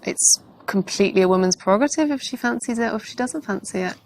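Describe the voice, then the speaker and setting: A woman commentator talks casually, giving her views in conversation. Her pitch is medium, and there is clear ambient noise behind her. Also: expressive, fast, a little mellow.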